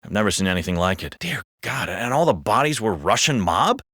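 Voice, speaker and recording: An actor playing a jaded, foul-mouthed, sardonic police officer in a video game. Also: male voice, lowish voice, animated, brisk, a bit bright, no echo, clean studio sound. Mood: wry, gossipy. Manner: gossipy, sardonic, swearing. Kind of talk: scripted video game voice acting.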